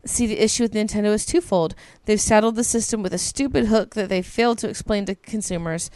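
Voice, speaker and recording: Casting note: woman co-host, medium pitch, chatty and excitable, swears, reads email on a studio mic. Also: fast, no echo. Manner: gushing, swears freely, many ums. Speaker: podcast co-host. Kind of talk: casual chat, reading listener emails aloud.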